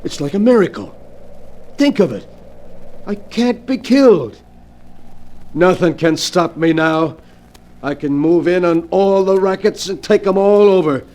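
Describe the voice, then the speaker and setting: A hard-boiled hunted crook. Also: male voice, high-pitched, lively intonation, unhurried, long pauses, a little mellow. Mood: triumphant. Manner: hard-boiled gangster talk. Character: tough hunted male crook, ruthless, power-hungry. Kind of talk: 1940s radio acting.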